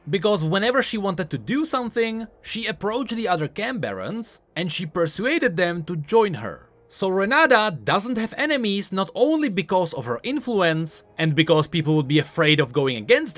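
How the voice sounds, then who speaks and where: A man's voice: sits high, a bit bright. A man giving scripted explainer commentary as a video host, in an explanatory, emphatic manner.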